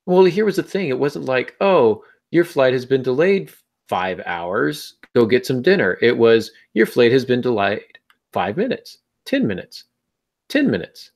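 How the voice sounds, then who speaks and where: A man's voice: mid-range voice, very animated, measured pace, a little mellow. A man hosting a podcast in casual chat, with a wry, anecdotal way of talking. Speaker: podcast host.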